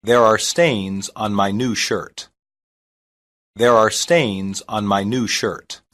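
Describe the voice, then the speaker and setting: A reader saying short English practice sentences slowly and evenly, each one twice, for a language lesson. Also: medium pitch, fairly even intonation, a bit bright. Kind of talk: slow repeated phrase reading.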